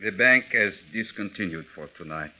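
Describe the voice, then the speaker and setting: An actor playing an impassive, formal croupier, droning out measured calls in a 1940s radio drama. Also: male voice, lowish voice, lively intonation, over music, natural voice. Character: impassive, formal casino croupier calling bets. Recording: muffled, very noisy vintage radio recording.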